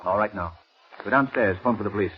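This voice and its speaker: Actor as a low-voiced gentleman sleuth, old radio. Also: natural voice. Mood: urgent, commanding. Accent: American. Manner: measured, thoughtful, reassuring. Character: calm, shrewd gentleman amateur sleuth, adult man. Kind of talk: 1940s radio mystery acting. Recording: muffled, faintly noisy 1940s radio recording.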